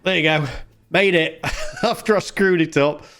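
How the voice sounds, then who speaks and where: A man's voice: a little high, unhurried, fairly bright tone. A man giving casual, colloquial, self-deprecating commentary while playing a video game. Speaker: gaming video host. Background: video game music.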